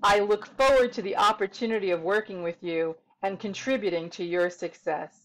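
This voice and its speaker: A woman's voice: mid-range voice, normal speed, rough recording. A middle-aged American woman from New York City giving an articulate, upbeat scripted introduction to her services as a tutor, recorded in a small room at home. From New York City, United States. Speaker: tutor and writing consultant. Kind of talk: scripted self-promotional introduction to camera.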